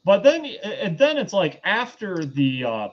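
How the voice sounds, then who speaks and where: A man hosting a film review show in casual chat, talking in a halting, loosely conversational way and often backtracking. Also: sits high, huge pitch swings, lo-fi sound.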